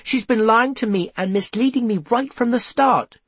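A man voicing an earnest, excitable boy who plays amateur sleuth in a children's story, anxious and blustering. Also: on the high side, a little slow, breathy edge, no echo, voice pushed up. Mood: indignant.

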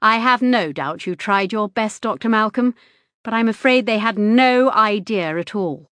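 A woman's voice: a little high, a little slow, fairly bright tone, dry recording. A woman reading the lines of a composed, precise middle-aged male hospital consultant in an audiobook, with a clipped, formal manner.

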